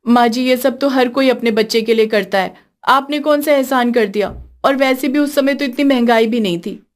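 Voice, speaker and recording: A woman's voice: a little high, normal speed, close to an everyday voice. A woman voicing a complaining young daughter-in-law in a cartoon story, whiny and argumentative. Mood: defiant. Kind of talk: Hindi cartoon story acting.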